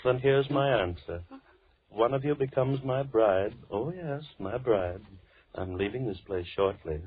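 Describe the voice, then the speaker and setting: An actor playing a politely menacing madman who holds two girls captive, speaking in a measured, mannered way in an old-time radio drama. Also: medium pitch, lively intonation, lightly acted. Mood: calmly threatening. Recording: muffled lo-fi vintage radio recording.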